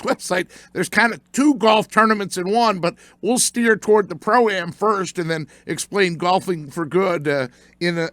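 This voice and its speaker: A man's voice: high-pitched, a bit bright, clear, resonant tone, no room sound. An older American man hosting a sports radio show, chatting casually and telling stories with many fillers. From United States.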